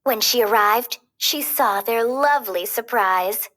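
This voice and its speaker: A woman reading a children's story aloud as narrator, in an expressive, dramatic way. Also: a little high, measured pace.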